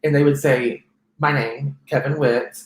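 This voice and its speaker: A man in his thirties with a soft, feminine-sounding voice, telling his life story as an interview guest, with frequent restarts. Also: mid-range voice, steady tone, a little slow, echoey room, a little hiss or hum, poor audio. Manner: rambling, many restarts and fillers. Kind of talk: personal testimony in conversation.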